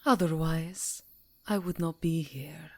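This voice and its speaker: A woman's voice: deep voice, slow pace, heavily acted. A woman playing a regal, coolly civil vampire countess in an ASMR roleplay, with a formal, imperious delivery. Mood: cool, challenging.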